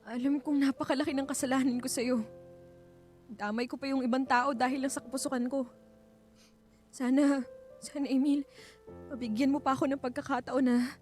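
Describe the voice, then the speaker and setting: An actress playing a remorseful, anxious wife in a Filipino radio drama, emotional and hesitant. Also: female voice, sits high, darkish tone, lightly acted. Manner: tearful, hesitant, repeats words. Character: remorseful, anxious young wife pleading for forgiveness.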